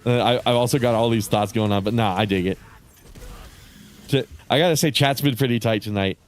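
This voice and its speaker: A man's voice: medium pitch, brisk. A man streaming a game and chatting casually, sounding congested and nasal, rambling with frequent swearing. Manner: rambling, swears often, laughs between thoughts. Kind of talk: casual chat while livestreaming a game.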